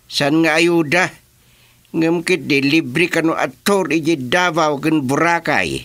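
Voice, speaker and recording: A male actor with an Ilocano accent playing an excitable, joking village senior in a rural comedy radio drama. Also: a little high, slow pace. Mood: busy, matter-of-fact. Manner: teasing, animated, colloquial.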